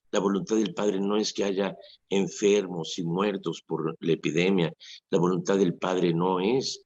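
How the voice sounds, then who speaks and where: A man's voice: low voice, darkish tone, breathy edge. A Mexican priest in his sixties preaching earnestly on a radio show, acting out quoted remarks as he goes. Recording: dull lo-fi radio recording.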